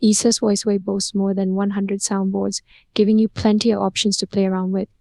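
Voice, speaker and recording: A woman narrating a scripted product promotion in a smooth, upbeat, evenly paced read. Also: medium pitch, little pitch movement, fairly quick, dark tone, no echo.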